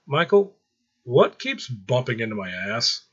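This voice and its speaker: A man's voice: mid-range voice, big pitch swings, a little slow, breathy edge, lo-fi sound. A man voicing a sassy, teasing young woman in a story, with playful exclamations. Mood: startled.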